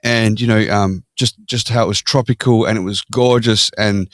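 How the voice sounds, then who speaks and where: An Australian man with a fairly low voice talks through his life story as a podcast guest. He is easygoing and laughs often. The sound is close and dry. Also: fairly even intonation, normal speed, no room sound. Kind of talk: casual interview chat.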